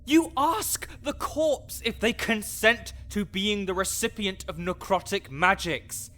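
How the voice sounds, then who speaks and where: A man's voice: high voice, slow, pushed and bright, heavily acted. A man playing a grouchy, scholarly necromancer in an audio roleplay, condescending, pedantic and sarcastic. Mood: exasperated lecturing. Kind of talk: audio roleplay acting addressed to the listener.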